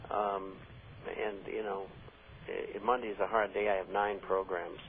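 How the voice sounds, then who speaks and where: A man hosting a radio talk show, speaking slowly and haltingly with many fillers while losing his voice. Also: on the low side, little pitch movement. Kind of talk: casual radio talk and listener questions. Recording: tinny phone-line radio audio with faint hiss.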